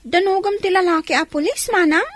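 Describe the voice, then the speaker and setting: A woman's voice: extremely high pitch, fairly quick. A woman voicing a polite, eager young worker in a comedic radio drama. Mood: curious.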